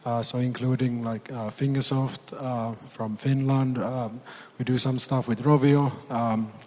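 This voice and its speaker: A man who has nearly lost his voice, hoarse, speaking as a panelist at a conference with many fillers and pauses. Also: mid-range voice, fairly even intonation, darkish tone. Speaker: panelist, game publisher CEO. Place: conference hall. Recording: long reverb of a conference hall.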